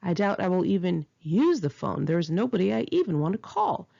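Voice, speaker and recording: A woman's voice: on the low side, sing-song, brisk, creaky, warm tone. A woman reading her own memoir as an audiobook, in an earnest, emphatic, confessional manner, on a slightly dull home recording. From United States.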